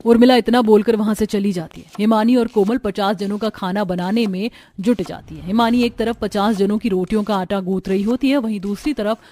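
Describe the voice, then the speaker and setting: A woman's voice: mid-range voice, a little fast, few pauses, dark tone. A woman narrating a scripted cartoon family story.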